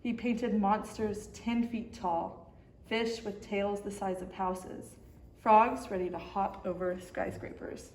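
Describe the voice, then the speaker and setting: A woman narrates a children's picture book with expression. Her pitch sits in the middle range, and the room around her voice echoes. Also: fairly even intonation, takes it slowly, darkish tone, a little hiss or hum. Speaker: story time reader. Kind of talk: expressive children's storybook reading.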